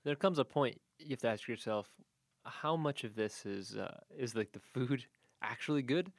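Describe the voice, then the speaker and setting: Gravelly co-host with dry quips. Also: male voice, mid-range voice, moderate pace, dry recording. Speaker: podcast co-host. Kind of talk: casual podcast chat.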